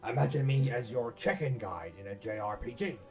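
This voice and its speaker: A man playing a calm, wise mentor giving quest instructions in a fan parody cartoon dub, formal and measured. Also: mid-range voice, soft background music, close to an everyday voice. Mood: calm, instructive.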